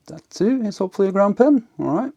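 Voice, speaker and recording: A man giving casual, unscripted hands-on narration as an electronics hobbyist, halting and half talking to himself as he works. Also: high-pitched, expressive, mellow, slightly rough. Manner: halting, muttering to himself while working.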